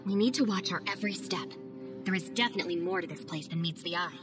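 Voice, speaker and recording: A woman voicing a young, analytical adviser in a game, who explains things in a measured, methodical way. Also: on the low side, music in the background, lightly acted. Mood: thoughtful. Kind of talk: game cutscene voice acting.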